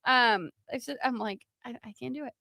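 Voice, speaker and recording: A woman's voice: fairly high pitch, normal speed, pushed and bright, no room sound, studio quality. A woman co-hosting a podcast, explaining folklore from notes in an informal, chatty way. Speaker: podcast co-host.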